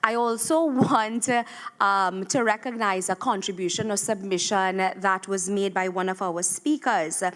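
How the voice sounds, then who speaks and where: A woman with a Trinidadian accent, speaking as a government minister at a round-table conference, pausing often with fillers and restarts. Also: mid-range voice, fairly even intonation, fairly bright tone. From Trinidad and Tobago. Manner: hesitant, many fillers and restarts. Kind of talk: formal remarks at a round table.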